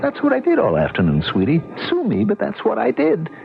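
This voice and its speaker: A man's voice: high voice, wildly swooping pitch, brisk, creaky, full character voice. An actor playing a wry, skeptical married man in a radio drama, grumbling along in colloquial, incredulous phrases. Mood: wry, exasperated. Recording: dull, noisy old radio recording.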